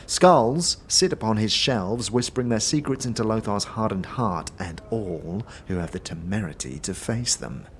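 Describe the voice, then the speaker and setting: A man's voice: fairly low pitch, lively intonation, normal speed, hardly any pauses, a little hiss or hum, close to an everyday voice. A man voicing a chirpy, theatrical tavern keeper in a fantasy audio drama, florid, hesitant and conspiratorial. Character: chirpy, theatrical male tavern keeper with flair for spooky tales.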